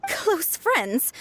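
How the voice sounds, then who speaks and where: A jaded game researcher who speaks slowly, with expressive swings of pitch. She is curt and defensive. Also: exaggerated voice. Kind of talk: scripted video game dialogue.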